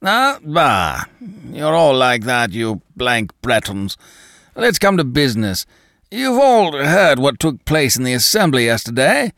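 Booming, hectoring colossus, put on by a male reader in a lively-pitched, dry audiobook read. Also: unhurried, no echo. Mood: blustering. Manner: loud, blustering, hectoring. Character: roaring, coarse, domineering giant of a man.

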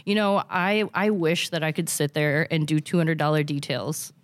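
A woman's voice: low voice, moderate pace, no echo. A young woman with a Minnesotan accent, chatting as a guest about her car detailing business, rambling and hesitant with lots of fillers. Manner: rambling, hesitant, with many fillers and self-restarts. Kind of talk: casual interview chat.

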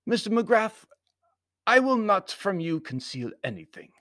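High-pitched, pompous Baron. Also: male voice, sing-song, measured pace, a bit bright, no echo, studio quality, heavily acted. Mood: stiff, courteous. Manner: stiff, formal, inverted word order. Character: big bearded foreign Baron, stiff, formal, pompous loyalist envoy.

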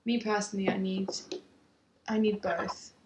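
A woman photographer, talking about her work in a halting, reflective way with frequent restarts. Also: mid-range voice, little pitch movement, reverberant, noisy background. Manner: halting, thinks aloud with many restarts. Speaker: photographer, interview guest. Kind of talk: reflective casual conversation. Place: home.

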